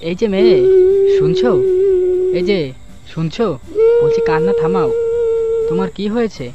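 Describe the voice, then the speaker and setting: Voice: very high-pitched, wildly swooping pitch, moderate pace, dull audio, loud music behind the voice, full character voice. An actor voicing a kindly, cautious village man in a cartoon, with a concerned, hesitant manner. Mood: worried. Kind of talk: Bengali children's cartoon voice acting.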